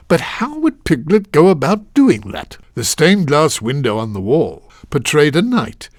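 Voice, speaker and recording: A lilting, curious storybook narrator. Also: male voice, a little high, no room sound, studio quality, full character voice. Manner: measured, articulate, gently musing with rhetorical questions. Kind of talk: storybook narration for a children's video game.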